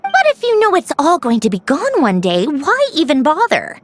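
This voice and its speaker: A woman playing an aloof, proud young woman in an anime-style video game, with a brisk, cool, skeptical manner. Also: high-pitched, wildly swooping pitch, noisy background. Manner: clipped, cool, dismissive.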